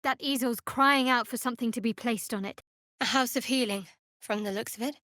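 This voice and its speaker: A woman playing a guarded, sardonic young woman cleric of a dark goddess in a video game, with a dry manner. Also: a little high, fairly quick, dry recording. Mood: dry, observant. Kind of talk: video game character voice acting.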